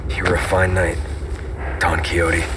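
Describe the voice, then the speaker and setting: An actor playing a grim, resolute young agent in a video game, speaking tersely and intensely with an American accent. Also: male voice, deep voice, level tone, a little slow, heavy background noise. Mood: grieving, resolute. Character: grim, loyal young male agent, shaken but resolute. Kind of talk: video game cutscene acting.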